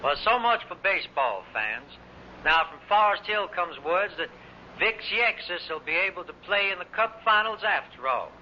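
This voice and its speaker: A man's voice: sits high, big pitch swings, normal speed, sharp tone. A man working as a sportscaster, announcing in a vintage radio style. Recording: dull old radio recording, faint noise.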